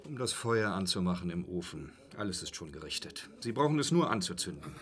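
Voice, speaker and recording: A village innkeeper for a radio play, his slightly low voice swinging widely in pitch. He is brusque and grumbling, yet glib and chatty, as if nothing could bother him. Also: sing-song, brisk, a bit bright. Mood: glib, obliging. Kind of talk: German radio drama acting.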